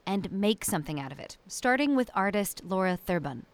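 A woman radio reporter narrating a news feature in a measured, reflective way. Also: mid-range voice, very animated.

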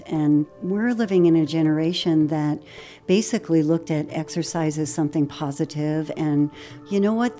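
A woman's voice: on the low side, dark tone. A sixty-year-old woman, a yoga instructor and studio owner, speaking upbeat and confident in an interview, laughing easily. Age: 60s. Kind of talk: interview reflections.

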